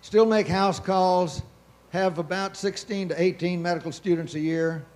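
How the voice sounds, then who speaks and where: An older man, a country doctor, introducing himself on a panel in a hoarse, folksy, matter-of-fact way. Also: high voice, fairly even intonation, moderate pace, a little mellow.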